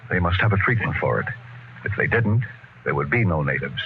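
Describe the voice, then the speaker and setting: An actor playing a guilty, nervous expedition surgeon in a 1950s radio drama, hesitant, halting and apologetic. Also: male voice, low-pitched, animated. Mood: remorseful. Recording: noisy, muffled old radio broadcast.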